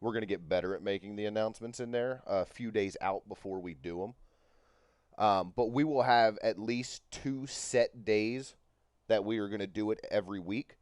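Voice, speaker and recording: A man's voice: lowish voice, moderate pace, darkish tone, clear, resonant tone, no echo, clean studio sound. A man in his thirties chatting casually on a livestream, rambling with many fillers. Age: 30s. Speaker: co-streamer.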